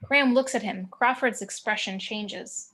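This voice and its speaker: A woman's voice: medium pitch, a little slow, a bit bright. A woman reading screenplay action descriptions as narrator of a table read over a video call. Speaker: narrator reading stage directions.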